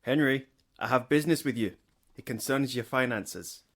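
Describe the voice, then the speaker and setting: An actor playing a kindly, concerned Victorian gentleman lawyer, speaking in a measured, earnest way in a stage drama. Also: medium pitch, gravelly, background noise, natural voice. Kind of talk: period stage drama acting.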